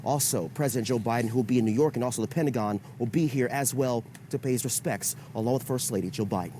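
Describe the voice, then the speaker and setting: Male field correspondent, warm mellow tone, live broadcast report with slight outdoor ambience. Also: mid-range voice, quick pace, a little hiss or hum. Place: outdoors near a memorial site.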